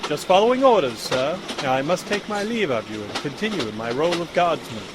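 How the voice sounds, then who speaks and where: A man voicing a polite, dutiful guardsman agent in a comedic audio drama, crisp and deferential. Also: on the high side, expressive, a little fast, background noise, natural voice.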